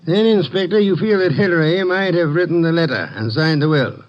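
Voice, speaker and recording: A man voices a grand, imperious detective who speaks in measured, formal sentences. His voice sits a little high for a man. It comes through a muffled old radio broadcast. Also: close to an everyday voice. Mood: calm, formal. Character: portly, imperious male detective genius. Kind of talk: 1950s radio drama acting.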